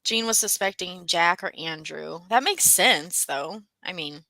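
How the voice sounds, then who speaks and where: A woman's voice: lowish voice, expressive, unhurried, creaky, bright, forceful tone. A woman co-hosting a casual book discussion, talking conversationally and thinking aloud as she goes.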